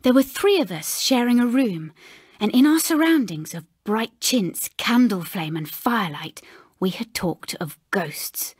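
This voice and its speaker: An English woman narrating a scripted ghost story in a measured, dramatic way with hushed phrases. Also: mid-range voice, lively intonation, slightly rough, no room sound, studio quality. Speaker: audiobook narrator.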